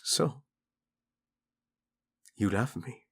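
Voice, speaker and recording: A man playing a doting, teasing tyrant prince in a romantic audio roleplay, flirtatious and tender with a husky delivery. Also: drawn-out words, a bit bright. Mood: playful, flirtatious.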